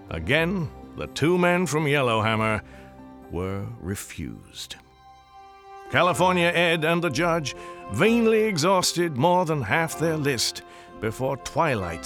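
An actor narrating an Old West tale in a radio drama, reading scripted storybook prose. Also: male voice, mid-range voice.

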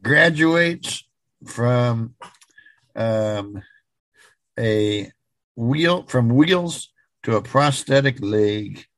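A man co-hosting a podcast, casually recounting odd news in a halting way as he searches for words. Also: medium pitch, lively intonation, fairly bright tone, no echo. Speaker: podcast co-host.

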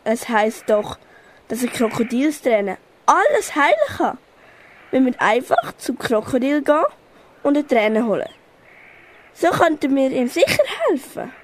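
A woman's voice: high voice, sing-song, a little slow, exaggerated voice. A teenage girl with a Swiss German accent playing a loyal, helpful elephant in an amateur radio play. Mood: eager.

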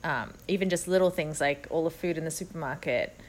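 A woman's voice: low voice, fairly quick. A woman co-hosting a podcast in casual, conversational talk. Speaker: podcast co-host. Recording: clear background ambient noise.

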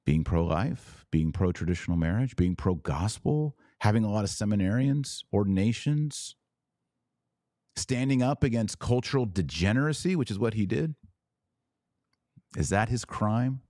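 A man's voice: fairly low pitch, expressive, normal speed, mellow, no room sound, studio quality. A man hosting a religious commentary podcast, speaking emphatically with rhetorical questions and repeated phrases for stress. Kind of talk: impassioned religious commentary to camera.

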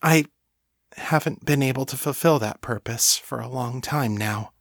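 A man's voice: medium pitch, measured pace, vocal fry, a bit bright, dry recording, studio quality. A man voicing a devoted, self-effacing female thrall in an audiobook, earnest and reverent in manner. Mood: rapturous devotion.

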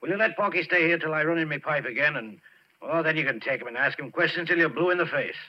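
Brief: man playing impatient rig foreman, fairly high male voice, pushy and slangy, vintage radio drama, dulled recording. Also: quick pace, lo-fi sound, lightly acted. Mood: persuading, businesslike. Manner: bossy, colloquial, pushy. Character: brusque, impatient oil drilling boss. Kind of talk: 1940s radio drama acting.

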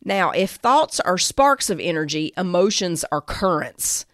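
A woman giving a devotional Bible teaching, part scripted and part conversational, in an earnest, emphatic way with deliberate pauses. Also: fairly low pitch, expressive, no echo, studio quality. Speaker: Bible teacher and show host.

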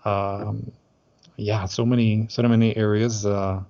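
A man's voice: on the low side, little pitch movement, slow, mellow, no echo, lo-fi sound. A man from Aruba who organizes group trips, chatting in an interview with many hesitant fillers and restarts. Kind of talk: casual interview chat.

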